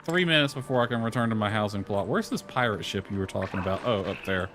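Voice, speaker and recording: A man's voice: lowish voice, animated, fast talker. A man giving informal gameplay commentary as a streamer, muttering asides to himself as he plays. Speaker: gaming streamer. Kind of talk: casual gameplay commentary, reading game dialogue aloud. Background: music.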